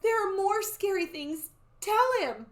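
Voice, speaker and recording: Storybook young girl acted by a woman: eager and insistent, high voice, very animated. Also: moderate pace. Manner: excited, calling out.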